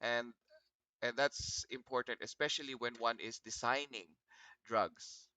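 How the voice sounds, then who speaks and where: A man's voice: medium pitch, fairly even intonation, measured pace, pushed and bright, no room sound. A man with a Filipino accent giving an informal online lecture to students, encouraging them, repeating himself and asking questions, his voice slightly froggy. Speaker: virology lecturer.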